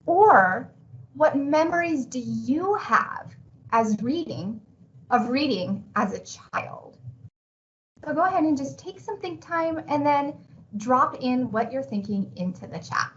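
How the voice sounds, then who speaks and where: A woman with a somewhat high voice teaches in a live online session. She talks conversationally and encouragingly. The audio is a little dull, with faint noise behind her. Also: animated, takes it slowly, a bit bright. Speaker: teacher presenting a parent webinar. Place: remote online video call.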